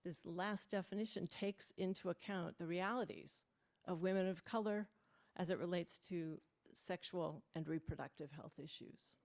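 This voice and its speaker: A woman speaks from a prepared text at a worship service. She moves deliberately through her points in a slightly low, even voice. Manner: measured, deliberate delivery. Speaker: worship leader.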